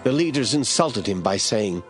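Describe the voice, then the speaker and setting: Man narrating a bible audio drama, lowish voice, steady read, music under. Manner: steady, measured reading. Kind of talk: scripted scripture narration.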